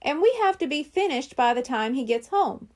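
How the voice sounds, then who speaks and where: A woman reading aloud while voicing a gentle, organized mother in a children's storybook. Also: high-pitched, animated, moderate pace. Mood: motherly. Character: warm, organized mother planning a surprise.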